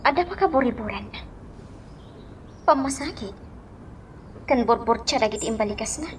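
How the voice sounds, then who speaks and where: A woman's voice: a little high, animated, normal speed, a little hiss or hum, natural voice. A woman playing a concerned, kindly young employer in a radio drama, talking in an earnest, questioning way. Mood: earnest, puzzled.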